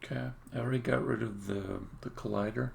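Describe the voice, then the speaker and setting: A man's voice: medium pitch, fairly even intonation, fast talker, gravelly. A man thinking aloud hesitantly in casual live-coding commentary, as a game developer streaming his work. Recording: faint noise, keyboard typing and clicks. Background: keyboard typing and mouse clicking.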